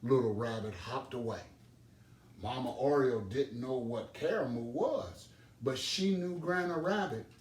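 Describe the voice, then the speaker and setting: A man reading a children's storybook aloud as an expressive storyteller. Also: medium pitch, measured pace. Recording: roomy, distant microphone, background noise.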